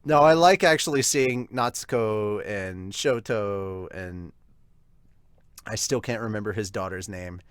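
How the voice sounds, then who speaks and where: A man's voice: fairly low pitch, measured pace, clean tone, no room sound. Chatty man reacting, dry close sound. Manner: chatty, laughs, restarts. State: hiccups. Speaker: podcast host reviewing manga. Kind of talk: casual reaction commentary.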